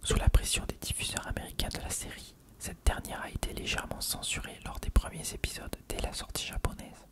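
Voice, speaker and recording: A person reading an encyclopedia article aloud for ASMR in a breathy, unvoiced whisper. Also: brisk, hardly any pauses. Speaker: ASMR reader.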